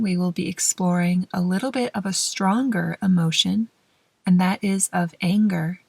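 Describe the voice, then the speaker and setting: A woman gently leading a guided meditation in a soft, breathy voice that often trails toward a whisper, with measured pauses between short phrases. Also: medium pitch, no room sound. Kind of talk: slow, soothing guided meditation narration.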